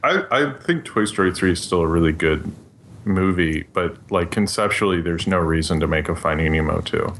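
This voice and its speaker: Male podcast panelist, deep register, conversational and halting, thinking aloud. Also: fairly bright tone, slight background noise. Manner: hesitant, trails off mid-thought. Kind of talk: casual panel chat about films.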